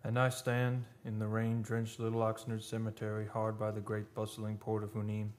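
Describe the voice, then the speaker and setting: A man's voice: fairly low pitch, completely flat delivery, moderate pace, darkish tone, lightly acted. An actor playing a solemn, haunted man devoted to his beloved in a live radio drama, speaking dramatically. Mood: mournful. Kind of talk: live vintage-style radio drama narration and acting. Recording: noisy live theater radio recording.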